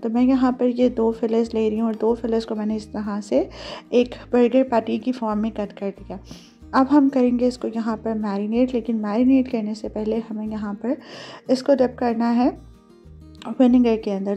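A woman narrates a recipe in a conversational, instructional way. Her voice sits a little high yet has a dark, relaxed tone. She slips English words and phrases into her explanations, with some music behind her. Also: lo-fi sound. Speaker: home cooking show host.

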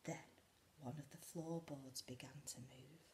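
A woman in her forties reads a picture book aloud to young children in a dramatic way, often dropping to a hush. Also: extremely low pitch, steady tone, measured pace, a little hiss or hum. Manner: dramatic, often drops to a hushed whisper. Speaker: school storyteller. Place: living room indoors by a window.

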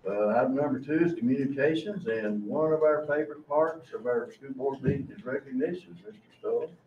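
A man's voice: mid-range voice, brisk. An older man chairing a formal board meeting, speaking in procedural phrases in a large indoor room. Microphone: distant room microphone. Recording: dull, roomy, distant mic, faint noise.

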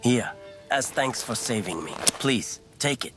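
A man's voice: mid-range voice, animated, unhurried, lightly acted. A man playing a polite, shrewd wandering merchant with a salesman's manner in a comic dub. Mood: courteous concern. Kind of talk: manga comic dub acting. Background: music under the dialogue.

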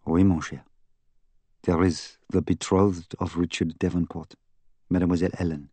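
Audiobook role: earnest, fussy middle-aged Belgian detective, French-speaking accent, precise and insistent, very dry close sound. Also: male voice, sits low, level tone, moderate pace, dark tone, no room sound. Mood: grave, emphatic. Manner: precise, formal, emphatic phrasing. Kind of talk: audiobook character acting.

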